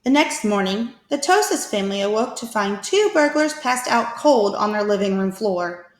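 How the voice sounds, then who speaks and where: A woman reading a picture book aloud to children as a teacher, with expressive, deliberate storytelling. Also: medium pitch. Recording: echoey room.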